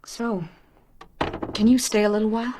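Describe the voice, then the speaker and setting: A woman's voice: a little high, little pitch movement, moderate pace, warm tone, a little hiss or hum, natural voice. A woman playing a shaken but determined, tender woman in a radio crime drama, earnest and pleading. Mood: tentative.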